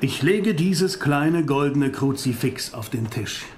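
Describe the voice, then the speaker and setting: A man acting in a German radio drama as a grave, commanding vampire-hunting professor who speaks in deliberate oratory. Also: a little high, animated, slight background noise. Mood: solemn, resolute. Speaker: voice actor. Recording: music behind the voice.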